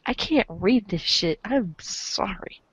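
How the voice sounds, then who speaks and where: A woman's voice: medium pitch, lively intonation, takes it slowly, fairly bright tone, slightly rough, no room sound, heavily acted. A woman voicing a cool, cocky, foul-mouthed teenage boy, wry and rambling, in an amateur webcomic reading. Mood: sarcastic, grumbling. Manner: wry, rambling, casual swearing.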